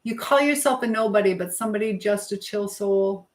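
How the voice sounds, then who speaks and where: A woman's voice: mid-range voice, brisk. A middle-aged American woman chatting casually as a livestream host, reading and answering comments with an encouraging manner, hopping from one thought to the next. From Michigan, United States. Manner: chatty, jumps between topics mid-thought.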